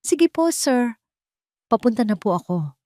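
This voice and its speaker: A woman's voice: fairly high pitch, big pitch swings, a little slow, mellow, no room sound, studio quality, pitched above the performer's own voice. A woman with a Filipino accent voicing an anxious, polite young mother in an audio drama. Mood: dutiful.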